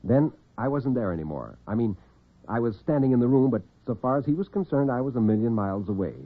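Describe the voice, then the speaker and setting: An American man telling dramatic tales as host and storyteller in classic radio style, theatrical and expressive. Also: medium pitch, quick pace. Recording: narrow-band vintage radio recording, muffled.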